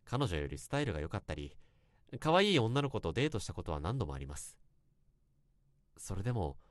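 Guy acting earnest young office worker, low voice, really expressive polite storytelling, radio drama confession, dry studio sound. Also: a little fast, dry recording. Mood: earnest, confessional. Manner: polite, formal, literary storytelling.

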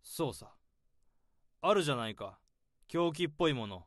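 An actor narrating as a weary, overworked male office worker in a radio drama, reasoning things out in a measured way. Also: a little high, lively intonation, dry recording, studio quality, close to an everyday voice.

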